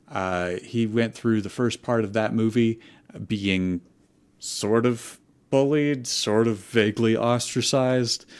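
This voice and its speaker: Slow, lowish-voiced halting man. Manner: halting, searching for words. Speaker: co-host of a fanfiction reading. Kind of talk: casual chat, improvised recap.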